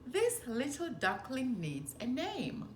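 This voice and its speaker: Woman as a little storybook piglet, high voice, timid, eager, excitable, roomy sound. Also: takes it slowly, slight background noise, exaggerated voice. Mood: thoughtful. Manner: excitable, giggly.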